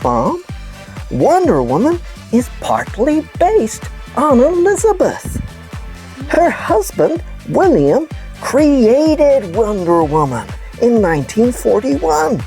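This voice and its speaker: Kids' news puppet host , a woman performing: affectionate, earnest storyteller, mellow slightly husky tone, swooping intonation. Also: a little high, heavily acted. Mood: enthusiastic. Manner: clear storytelling, explains simply. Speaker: host of children's news show. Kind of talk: scripted presenting for children.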